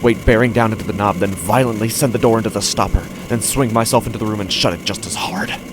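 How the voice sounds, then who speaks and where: Guy acting deep voiced anxious office worker losing it, halting intense horror podcast monologue, first person. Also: background noise, lightly acted. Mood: frantic. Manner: halting, intense delivery in short broken phrases. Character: anxious, unraveling male office worker narrating his horror.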